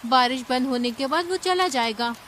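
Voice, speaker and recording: A woman voicing a caring, righteous female bird in an animated fable, speaking in an earnest, concerned way. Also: high-pitched, normal speed, very noisy, close to an everyday voice.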